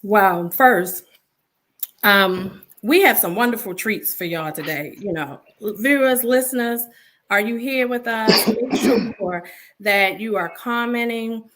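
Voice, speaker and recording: A woman hosting a live online interview show, lively and upbeat, talking straight to her viewers. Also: mid-range voice, takes it slowly, a little hiss or hum. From Baltimore, USA. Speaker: show host.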